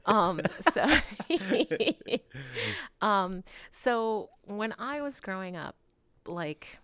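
A woman in her forties talking candidly as an interview guest, frank and animated, swearing casually and sometimes dropping into soft asides. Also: medium pitch, very slow, clear, resonant tone, no echo. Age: 40s. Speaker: guest artist.

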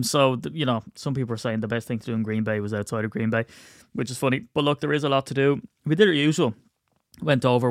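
A man's voice: mid-range voice, no echo. Male podcast host, stuffy cold, rambling self-mocking chat, dry close mic. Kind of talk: casual solo podcast chat.

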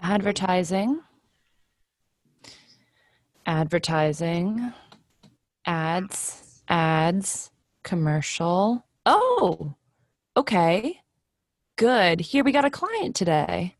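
Woman as middle-aged office clerk, pedantic, dramatic, very slow, swooping pitch, emphatic, stage play reading. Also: sing-song, no echo, studio quality, exaggerated voice. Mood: absorbed, then pleased. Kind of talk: readers' theatre play reading.

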